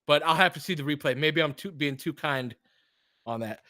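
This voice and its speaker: A man doing live basketball play-by-play and analysis as a host, informal and openly partisan, excitable on big plays. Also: on the high side, moderate pace, no room sound, studio quality.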